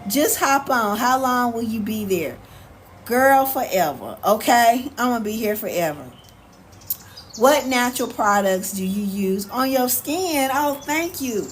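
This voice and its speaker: An American woman chatting casually on a livestream as host, answering viewers in an animated, sassy, colloquial way. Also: on the high side, a little slow. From United States. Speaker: live stream host. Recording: clear background noise, ambient sound.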